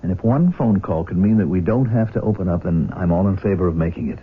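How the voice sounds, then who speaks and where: A man's voice: low voice, animated, fast talker, breathy edge. An actor playing a protective, reasoning middle-aged father in a radio drama, earnest and firm. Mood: resolved. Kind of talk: 1970s radio drama acting. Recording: dull, noisy 1970s radio recording.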